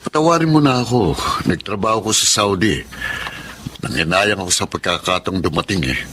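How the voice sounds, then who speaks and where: A man's voice: sits low, lively intonation, a little fast, a bit bright, a little hiss or hum. A Filipino man acting an arrogant, menacing former lover in a radio drama, with a smug, taunting manner. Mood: smug, coaxing. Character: arrogant, menacing former lover returning to claim his child.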